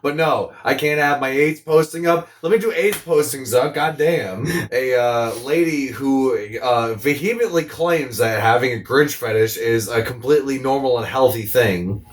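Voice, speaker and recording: A man's voice: mid-range voice, normal speed, hardly any pauses. A man co-hosting a comedy podcast, casually reading a post aloud in a crude, mock-serious, sarcastic way. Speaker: podcast co-host.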